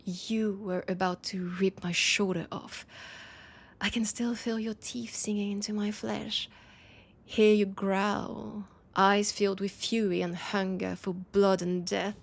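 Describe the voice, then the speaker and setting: A woman with a French accent playing a teasing, nostalgic, centuries-old vampire in an intimate audio roleplay, her breathy voice often dropping to a whisper. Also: medium pitch, level tone, takes it slowly. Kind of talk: intimate audio roleplay, one-sided dialogue.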